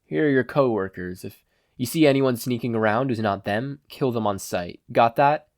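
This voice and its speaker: A man's voice: medium pitch, moderate pace, no room sound, clean studio sound, lightly acted. A man voicing a brusque, businesslike male crime boss with a curt, commanding manner. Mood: cool, commanding.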